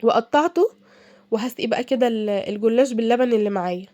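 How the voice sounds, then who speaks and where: Casting: young Egyptian woman cooking vlogger, stuffy from a cold, brisk and chatty, dry close sound. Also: on the high side, mellow, dry recording, dull audio. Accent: Egyptian Arabic. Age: young adult. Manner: chatty, many fillers and restarts. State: congested from a cold. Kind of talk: casual advice while cooking.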